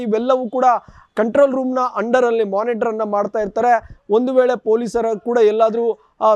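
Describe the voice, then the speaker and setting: A man giving an unscripted TV news report in a halting, repetitive way with formal phrasing. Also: sits high, darkish tone, dry recording, highs cut off.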